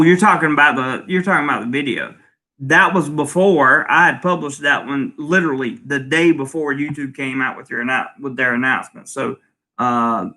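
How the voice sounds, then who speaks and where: Bright-voiced man rambling through live chat. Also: medium pitch, vocal fry. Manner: rambling, lots of ums and restarts. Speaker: channel host. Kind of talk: casual livestream, reading viewer comments. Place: home in Thailand.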